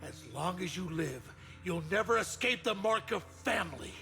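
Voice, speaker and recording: An actor playing a scheming older uncle who heads a ruthless family, delivering sneering, gravelly lines in a video game cutscene. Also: male voice, high voice, expressive, normal speed, music in the background. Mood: menacing.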